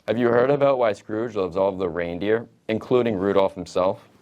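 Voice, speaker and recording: A teenage male high school student co-hosting a school show with scripted joke banter for an audience. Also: brisk, darkish tone, highs cut off. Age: teens, high school student.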